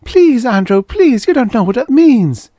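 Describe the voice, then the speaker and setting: A man's voice: very animated, a little slow, mellow, dry recording, heavily acted. A man voicing an anguished, fragile wife in a story, pleading in a strained, desperate way. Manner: pleading, strained, high-pitched put-on female voice.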